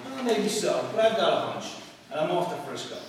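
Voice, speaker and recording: Man playing a cocky higher-voiced newshound, echoey hall. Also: very animated, fairly quick. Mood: cocky, needling. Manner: cocky, wisecracking. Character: brash, persistent male newspaper reporter. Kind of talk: 1940s radio acting. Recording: distant echoey hall, faint noise.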